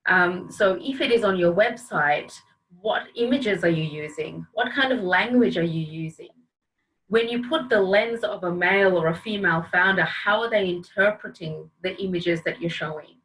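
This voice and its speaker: A woman's voice: mid-range voice, fairly bright tone. A woman presenting a training webinar over a video call, speaking in an upbeat, encouraging and direct way. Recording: distant video-call audio.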